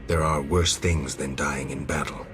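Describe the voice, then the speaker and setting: A stoic fantasy game hero, with an extremely deep voice. He is a sardonic monster hunter who speaks in curt lines. Music runs clearly underneath. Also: fairly even intonation, moderate pace. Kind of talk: fantasy video game acting. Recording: music behind the voice.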